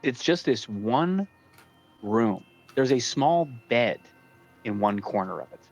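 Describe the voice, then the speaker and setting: A man running a tabletop role-playing game as dungeon master, describing scenes deliberately with pauses between phrases. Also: mid-range voice, expressive, soft background music.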